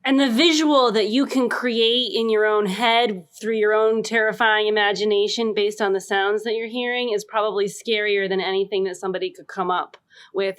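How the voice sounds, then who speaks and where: Medium-pitched woman in casual podcast chat. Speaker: podcast co-host. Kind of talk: casual reflective conversation.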